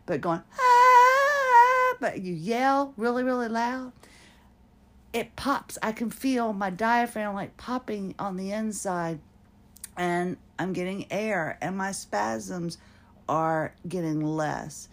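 A woman's voice: medium pitch, lively intonation, takes it slowly, a bit bright. A woman hosting a personal podcast, rambling and self-mocking, often breaking into laughter as she talks. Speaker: podcast host.

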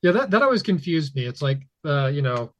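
A man's voice: on the high side, sing-song, fairly quick, a bit bright, no echo, highs cut off. A man guest on a podcast, with dry humor and some hesitant restarts as he talks about a movie. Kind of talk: casual movie-review chat.

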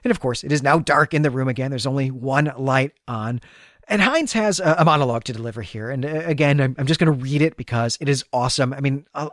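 A male podcast host with a medium-pitched voice talks through a story in a conversational recap. He explains things with enthusiasm. The sound is dry, with hardly any room to it. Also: dry recording. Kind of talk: conversational literary recap with read-aloud passages.

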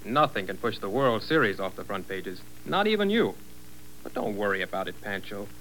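An actor playing a casual, slyly needling American newspaper reporter in old radio drama style. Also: male voice, lowish voice, very animated, moderate pace, fairly bright tone. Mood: needling, offhand. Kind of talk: 1940s radio drama acting. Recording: noisy, humming vintage radio recording.

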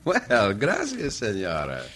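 An actor playing a gallant, good-humoured Mexican adventurer in an old radio western, speaking accented English. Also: male voice, on the low side, wildly swooping pitch, takes it slowly, exaggerated voice. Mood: courteous. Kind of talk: 1950s radio western acting. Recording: vintage radio recording, faint noise.

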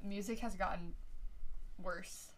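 A teenage girl chatting as a podcast guest, animated and quick to laugh. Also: crowd noise, rough recording. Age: teens. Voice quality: lower voice than she used to have. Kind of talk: casual chat.

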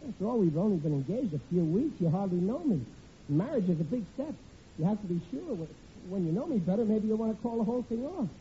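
An actor playing a hapless, evasive working-class American groom in 1940s radio comedy style, stammering and stalling with folksy grammar. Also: male voice, high-pitched, big pitch swings, fast talker, dark tone. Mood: nervous stalling. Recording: muffled, faintly noisy old radio recording.

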